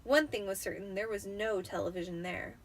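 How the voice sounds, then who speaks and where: A tipsy woman reading a story aloud in an expressive, dramatic audiobook style, with the occasional stumble. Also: medium pitch, fairly quick. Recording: noticeable background noise.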